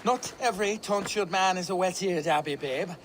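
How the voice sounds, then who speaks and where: Casting: hardy, defiant monk, high-pitched voice, video game scene with faint noise. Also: male voice, normal speed, fairly bright tone. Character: scarred tonsured monk, male, hardy, unapologetic drinker.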